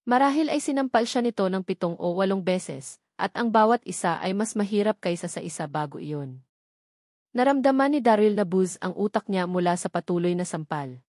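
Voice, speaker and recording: A woman's voice: mid-range voice, darkish tone, dry recording, clean studio sound. A woman reading a novel aloud as scripted narration. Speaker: audiobook narrator.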